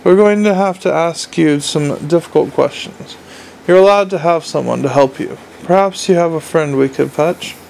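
A courteous young watch captain talks with patient care, as a man plays him. His voice has a slightly raised pitch and a gravelly texture. He stays measured and earnest. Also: a little mellow, a little hiss or hum, low-quality recording.

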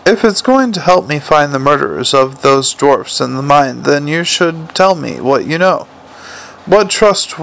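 A composed, cultured troll king, as a man reading aloud puts him on, speaking in a quiet, measured way with a schoolteacher's firmness. The voice sits a little high and carries a gravelly edge. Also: exaggerated voice, rough recording. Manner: measured, softly commanding, teacherly. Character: calm, clever, cultured male troll king.

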